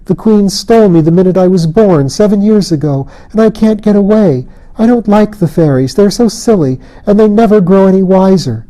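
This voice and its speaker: A man's voice: high voice, moderate pace, mellow, voice pushed up, lightly acted, poor audio. A man doing a wistful changeling girl. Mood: pleading. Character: fretful little changeling girl held by fairies.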